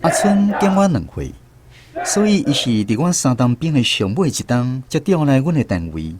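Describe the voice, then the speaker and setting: A man's voice: mid-range voice, sing-song, normal speed, noisy background. A man with a Taiwanese accent narrating a scripted first-person story for a radio drama. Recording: music behind the voice. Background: music.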